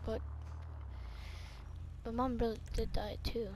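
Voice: medium pitch, level tone, slow pace, darkish tone, a little hiss or hum. A player chatting casually in improvised roleplay during a video game, over a home gaming voice chat.

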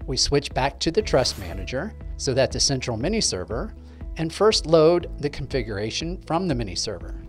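Man presenting with lively intonation. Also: fairly high pitch. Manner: clear step-by-step explaining. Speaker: presenter of a tech tutorial video. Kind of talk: scripted instructional narration.

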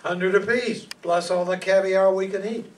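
A man's voice: high-pitched, steady tone, moderate pace, natural voice. An older man playing a cocky, theatrical would-be detective in an amateur radio play reading. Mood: persuasive. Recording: distant mic, faint room noise.

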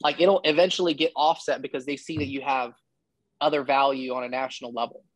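A man's voice: medium pitch, fairly even intonation, brisk, no echo. A man in his thirties giving casual, emphatic and blunt advice as a coach on a one-on-one video call. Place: indoor room, video call.

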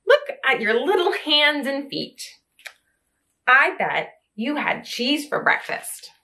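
A woman's voice: high voice, very animated, slow pace, pushed and bright, exaggerated voice. A woman voicing a small, boastful, teasing mouse in a picture book who insists it is a tiger.

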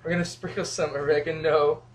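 Young man, goofy, rambling, slightly high voice, kitchen, camera mic, faint hiss. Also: flat delivery, a little fast. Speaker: home cooking vlogger. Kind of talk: casual cooking demo, joking asides. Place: small home kitchen, indoors. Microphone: camera mic from a few feet away.

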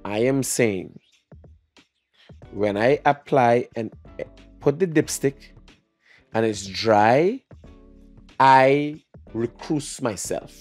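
A man's voice: mid-range voice, lively intonation, drawn-out words, long pauses, soft background music. A middle-aged man with a Guyanese accent in an uncensored podcast debate, emphatic, profane and repetitive, often cutting in. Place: small indoor lounge studio. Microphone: podcast microphone on boom arm.

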